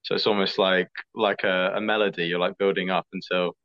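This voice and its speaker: A young man, an athlete answering interview questions in a relaxed, chatty way. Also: sits low, a little fast. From England. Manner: relaxed, chatty, fillers like "like" and "sort of". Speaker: skeleton athlete. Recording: slightly dull lo-fi audio.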